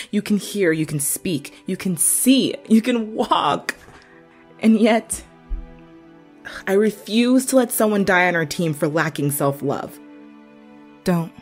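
Woman acting firm, mid-pitched tough biker. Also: darkish tone, slightly rough. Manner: firm, blunt, scolding. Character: stern, caring, tough biker woman around thirty. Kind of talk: character voice demo acting.

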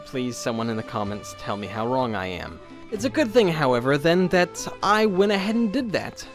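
A man's voice: mid-range voice, very animated, normal speed, soft background music. A man narrating a scripted video essay as its host, with wry, tongue-in-cheek asides.

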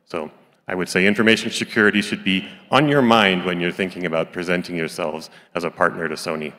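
A middle-aged man giving measured, serious business advice as a panelist into a handheld microphone. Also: low voice, fairly even intonation, sharp tone. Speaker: panelist, game company executive. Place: conference stage. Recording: reverberant hall stage sound.

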